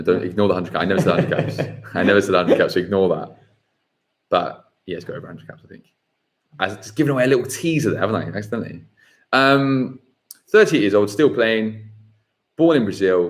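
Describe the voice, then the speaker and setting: A man hosting a live football quiz, reading questions slowly and repeating them. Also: sits low. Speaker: quiz host.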